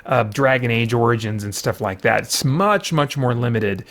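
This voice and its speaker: A man's voice: mid-range voice, normal speed, a bit bright. A man hosting a casual, unscripted video game review, explaining things conversationally with plenty of hedging. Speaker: host reviewing video games.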